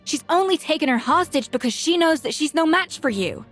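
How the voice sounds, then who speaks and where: A woman's voice: high-pitched, lively intonation, brisk, a bit bright. A woman playing a proud, righteous young female chef in a video game, with a scolding, exasperated delivery. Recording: music bed behind the voice.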